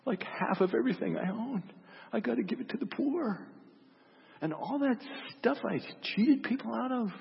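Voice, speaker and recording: A man voicing a humbled, repentant tax collector near tears, halting and repeating himself as he confesses, in a sermon story. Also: very high-pitched, expressive, gravelly, slight background noise, pitched above the performer's own voice, full character voice. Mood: tearful, repentant. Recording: long hall echo, dull sound.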